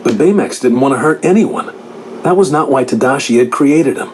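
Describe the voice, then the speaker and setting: A man narrating a scripted children's storybook in a dramatic, clearly enunciated storytelling manner. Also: medium pitch, expressive, moderate pace, slight background noise. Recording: loud music behind the narration.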